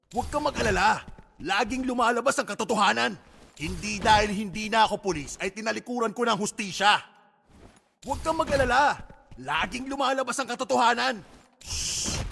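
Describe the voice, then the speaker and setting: A man's voice: extremely high pitch, creaky, sharp tone, slight background noise, exaggerated voice. A man voicing a wry, assured ex-policeman devoted to justice in a video game, curt, in reverberant audio with music. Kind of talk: game character voice lines.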